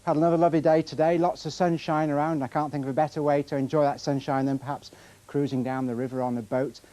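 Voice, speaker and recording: A man's voice: fairly high pitch, level tone, fast talker, warm tone. An English man giving a chatty, upbeat television weather forecast in a conversational style. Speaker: weather presenter.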